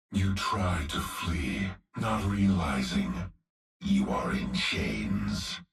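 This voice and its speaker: Menacing cyborg executioner, extremely deep gravelly growl, slow and heavy, roomy distant game audio with music. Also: male voice, a bit bright, exaggerated voice. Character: hulking brutal male cyborg executioner, menacing.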